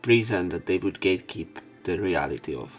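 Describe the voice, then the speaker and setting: A man's voice: deep voice, animated, fairly quick. A man reading a visual novel aloud as a let's play host, with some commentary of his own and the odd stumble over words. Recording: faint music behind. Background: soft game music.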